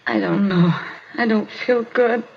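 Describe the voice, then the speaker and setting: An actress playing a dazed, pained mugging victim in a 1950s radio drama, answering haltingly and repeating herself. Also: female voice, on the high side, creaky. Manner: halting, repetitive, confused short answers. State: in pain, weak. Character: dazed, hurting woman mugging victim, pleading. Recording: muffled, noisy 1950s radio recording.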